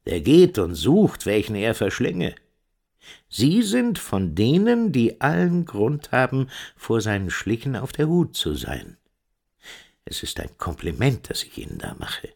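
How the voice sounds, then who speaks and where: An actor in a German radio drama playing a pious, dignified old school director, declaiming unctuously with a gravelly, husky voice and hushed drops. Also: male voice, fairly low pitch, heavily acted. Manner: declamatory, unctuous, emphatic swells dropping to hushed breath. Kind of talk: German radio drama acting, sermon-like farewell speech.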